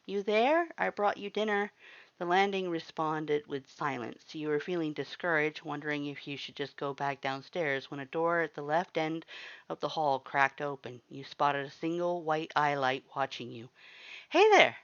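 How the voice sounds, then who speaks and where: A woman reading a story aloud in an expressive audiobook narration style. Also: sits low, normal speed, clear, resonant tone, dull audio. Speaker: fan fiction narrator.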